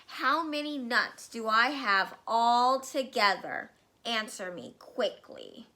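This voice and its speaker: A tyrannical storybook headmistress bellows slow, crushing insults at a terrified pupil, as a woman puts her on. The voice sits a little high and hard-edged, each word dragged out for menace. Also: lively intonation, sharp tone, exaggerated voice. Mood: scornful. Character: bullying giant headmistress, furious and insulting.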